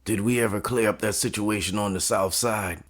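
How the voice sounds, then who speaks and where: Man acting as deep, commanding mob boss. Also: fairly even intonation, fairly bright tone, breathy edge. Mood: controlled authority. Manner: formal, measured, authoritative. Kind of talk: dramatic audio story acting. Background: background music.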